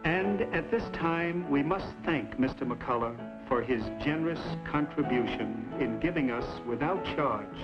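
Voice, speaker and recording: An actor playing a meek, unctuous frontier undertaker who talks in pious, flowery, ingratiating phrases in an old western. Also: male voice, medium pitch, lively intonation, unhurried, hardly any pauses, over loud music, lightly acted. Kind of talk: old western screen drama acting. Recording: dull old film soundtrack.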